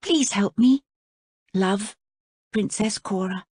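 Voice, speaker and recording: A woman playing a high-voiced young princess. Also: slow, darkish tone, slightly rough, dry recording, clean studio sound. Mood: pleading. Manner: high, plaintive girlish voice. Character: unhappy young princess, earnest girl.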